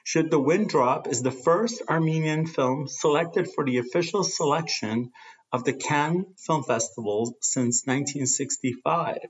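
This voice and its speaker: A male radio host reading guest introductions and pledge notes in a measured, scripted voice-over. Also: a little high, dull audio. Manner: measured, reads from a script with some fillers.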